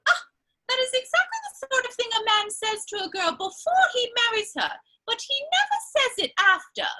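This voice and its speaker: A woman's voice: very high voice, big pitch swings, moderate pace, sharp tone, full character voice, rough recording. An actress playing a witty, teasing young society lady in a comedy of manners, with an arch, theatrical and playfully scolding manner. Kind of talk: stage comedy of manners, dramatic reading.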